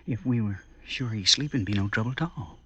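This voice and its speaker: Nervy, excitable young outlaw, swooping voice. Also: male voice, fairly low pitch, a little fast, breathy edge. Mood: scheming. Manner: folksy, excitable, chattering. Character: Bob Ford, nervy, chattering young outlaw. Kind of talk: classic radio western acting.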